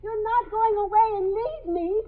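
Radio drama role: anxious trapped woman, extremely high pitch, talkative and pleading, on a muffled narrow vintage recording. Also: measured pace. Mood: earnest, polite. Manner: calling out loudly, chattering explanations. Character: anxious, insistent single woman locked inside a drugstore. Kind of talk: 1950s radio drama acting.

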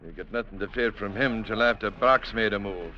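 An actor playing a gruff, cynical river-boat captain in a blunt, sardonic radio-drama style. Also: male voice, on the low side, fairly quick. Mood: sardonic, warning. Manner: blunt, sardonic, shouts orders. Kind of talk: 1940s-50s radio drama acting. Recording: dull, noisy old radio recording.

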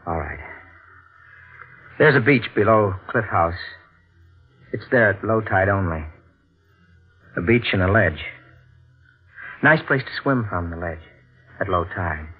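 Secretive husband, old radio play: clipped, polite, very expressive pitch. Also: male voice, slow, long pauses, slightly rough, faint music behind, full character voice. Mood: grim. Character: evasive, guarded husband with a dark secret. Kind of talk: 1940s radio drama acting. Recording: thin, tinny old radio recording.

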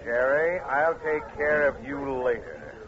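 An actor playing a ruthless, commanding crime boss in a 1950s radio crime drama, curt and cold. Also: male voice, medium pitch, big pitch swings, measured pace. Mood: curt anger. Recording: dull, noisy old radio recording.

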